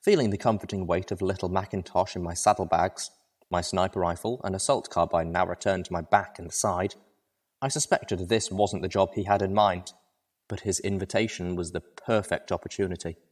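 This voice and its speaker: Low-voiced man narrating a story. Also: steady tone, normal speed. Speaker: narrator reading fan fiction aloud. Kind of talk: dramatic first-person story narration.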